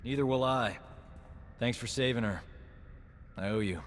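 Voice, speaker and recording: An actor playing a cocky, flirtatious rogue doctor in a video game, with a breezy, self-assured manner. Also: male voice, medium pitch, normal speed, a little hiss or hum, close to an everyday voice. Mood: confident. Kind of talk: video game cutscene acting. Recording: game music behind the voice.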